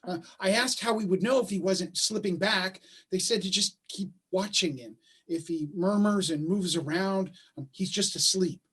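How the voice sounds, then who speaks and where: An actor playing an anxious father in a staged play reading, with a husky voice and halting, urgent reassurance. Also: mid-range voice, fairly bright tone. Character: anxious father keeping watch at son's bedside. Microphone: video call microphone.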